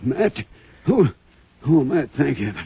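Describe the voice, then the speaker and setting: A man's voice: medium pitch, big pitch swings, slow, warm tone, dry recording, full character voice. An actor playing a shaken, injured frontier bank man in a 1950s radio western, speaking weakly and huskily as if in pain. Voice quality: husky, gravelly. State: weak, in pain. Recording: dry, dull 1950s radio recording.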